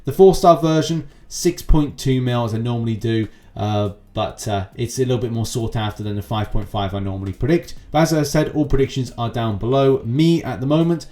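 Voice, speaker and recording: A man's voice: medium pitch, a little fast, few pauses, mellow. A man hosting gaming news and commentary for viewers, punching his openers and trailing off into asides.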